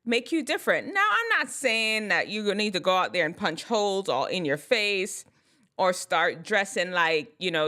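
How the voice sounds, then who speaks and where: Female vlogger, mixed Jamaican-American accent, fairly low voice, crisp enunciation, chatty and animated. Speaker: vlogger giving advice. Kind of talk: casual advice talk to camera.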